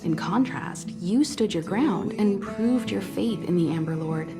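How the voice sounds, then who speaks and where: An actress playing a composed, kindly mentor of space travellers in a video game, explaining things in a poised, reassuring way. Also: female voice, mid-range voice, unhurried, lightly acted. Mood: composed, earnest. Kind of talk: video game voice acting, measured dialogue. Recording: background music under studio voice.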